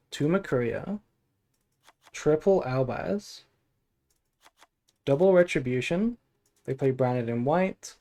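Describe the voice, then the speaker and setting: A sleepy man chatting casually on a game stream, musing aloud in a low-key way. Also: mellow. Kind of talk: casual gameplay stream chat and deck analysis.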